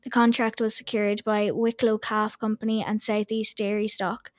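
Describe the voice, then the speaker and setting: An Irish woman hosting a farming news podcast, reading her links in an even, scripted way. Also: mid-range voice, moderate pace, dry recording, studio quality. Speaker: podcast host.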